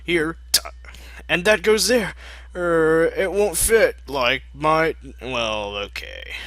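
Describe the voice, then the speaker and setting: A man's voice: a little high, animated, slow pace, a bit bright, no echo, voice pushed up, exaggerated voice. A man voicing a young female pony scholar, eager and flustered, with a hesitant, apologetic manner in a fan fiction reading.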